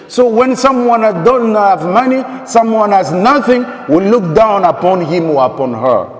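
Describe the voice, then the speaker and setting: A middle-aged man from the Democratic Republic of Congo, a priest preaching a sermon with repeated, emphatic phrases and rhetorical questions. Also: sits high. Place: large church, indoors. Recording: echoey church with long reverb.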